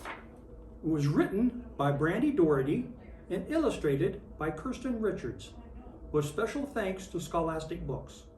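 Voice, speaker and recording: A reader in character as Santa Claus, reading a children's picture book aloud with expressive storytelling. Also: mid-range voice, a little slow. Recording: roomy, distant mic with faint noise.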